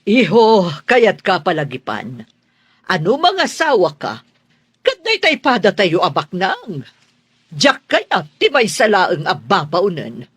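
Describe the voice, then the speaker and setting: A woman's voice: mid-range voice, wildly swooping pitch, slow, fairly bright tone, breathy edge, no echo, exaggerated voice. An actress with a Filipino accent playing a stern, class-proud, middle-aged rich mother in a radio drama, haughty and scolding. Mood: indignant.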